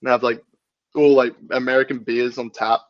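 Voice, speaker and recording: A man's voice: mid-range voice, flat delivery, normal speed, no echo, lo-fi sound. An Australian man bantering casually as a podcast guest, with slangy, sweary talk. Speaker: band member and podcast guest.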